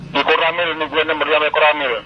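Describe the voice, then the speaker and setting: A man's voice: on the high side, level tone, racing. A man working as a debt collector, brusque and insulting, arguing heatedly over the phone. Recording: muffled phone line with background noise.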